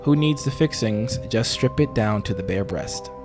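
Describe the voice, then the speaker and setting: A man playing a bumbling, earnest talk-show host in an improvised comedy interview, with halting, rambling phrasing. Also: on the low side, expressive, music in the background, close to an everyday voice. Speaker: podcast host.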